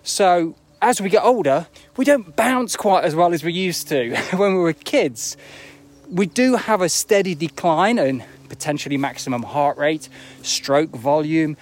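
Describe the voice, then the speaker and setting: A man's voice: fairly high pitch, lively intonation, a little slow. A man in his forties giving a casual, reflective motivational talk as a running coach on a live video, often checking in with rhetorical questions. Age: 40s. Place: outdoors, walking uphill near an airport.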